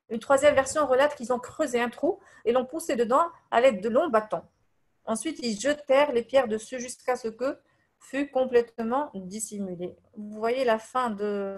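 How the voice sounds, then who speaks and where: A woman from Tunisia whose first language is Arabic, giving a religious lesson in French, hesitating often with fillers, reading translations and reciting verses quickly. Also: on the high side. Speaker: religious teacher. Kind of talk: religious lesson over voice messages.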